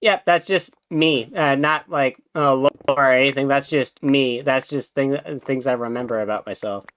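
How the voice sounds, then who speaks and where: Casting: young adult man, streamer, loose rambling chat, laughs a lot, mid pitch. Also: normal speed, a bit bright, clean tone. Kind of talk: casual chat.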